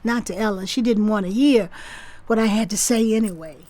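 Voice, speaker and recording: A woman's voice: medium pitch, brisk, darkish tone, dry recording, lightly acted. A woman playing a regretful, devout older woman in an audio drama, looking back in a plainspoken, reflective way. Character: regretful, devout older woman singer recalling her past.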